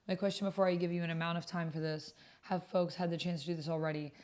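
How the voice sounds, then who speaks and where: A woman with a slightly low voice hosts a casual livestream. She moves between reading messages and prompts aloud and commenting on them in a chatty, conversational way. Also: level tone, fast talker, darkish tone. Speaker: livestream host and tabletop roleplay player.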